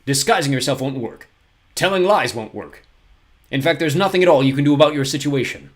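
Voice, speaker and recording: A man's voice: medium pitch, expressive, brisk, voice pushed up, natural voice. A man doing a stern, blustering banker. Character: stern fussy male banker guardian, coughing.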